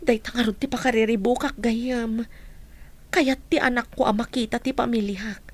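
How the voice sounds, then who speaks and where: A husky, slightly high voice of a woman acting as a protective mother in an Ilocano radio drama. She sounds tender and wounded, with an Ilocano accent. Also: steady tone, moderate pace, lightly acted. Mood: anxious, hurt. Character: poor, hurt, protective mother of a young child.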